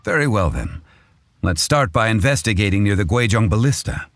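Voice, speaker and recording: An actor playing a refined, patient gentleman scholar in a video game, formal, measured and erudite. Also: fairly low pitch, animated, no room sound, clean studio sound. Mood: thoughtful. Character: refined, learned gentleman consultant, patient and composed. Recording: game music behind. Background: game music.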